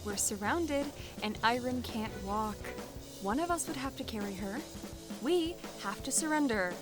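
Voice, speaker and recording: Female spear fighter played by a woman, fantasy audio drama: slightly high voice, practical, calm leader, prominent music underneath. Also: unhurried. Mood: commanding calm. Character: Edith, level-headed female spear-wielding companion, practical leader.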